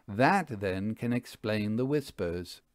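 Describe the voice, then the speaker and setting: A man voicing a sharp, commanding woman investigator and reporter in an audiobook, speaking formally with dry, pointed exactness. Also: fairly low pitch, lively intonation, slow, no room sound. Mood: dry, snarling. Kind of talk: audiobook character dialogue.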